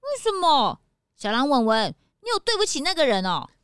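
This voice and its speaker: An eager young detective's sidekick in a children's radio play. The voice is very high and bright, swinging widely with earnest excitement. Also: female voice, moderate pace, no room sound, clean studio sound. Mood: excited. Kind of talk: children's audio drama acting.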